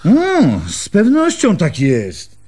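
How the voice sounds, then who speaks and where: An actor playing a flustered, anxious underling in a radio play, hesitant and stammering. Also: male voice, high voice, wildly swooping pitch, slow pace, a little mellow, husky, full character voice. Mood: flustered, nervous. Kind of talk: radio drama acting.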